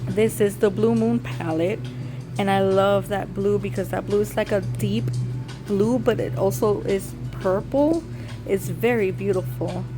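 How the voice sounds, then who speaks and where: A woman from Puerto Rico talks through her makeup tutorial, explaining each step as she applies it. Her voice is hoarse and raspy from a recent illness, and music plays clearly in the background. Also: medium pitch, steady tone, measured pace. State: hoarse, recovering from lost voice. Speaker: beauty content creator. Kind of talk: casual makeup tutorial vlog. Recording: music playing behind.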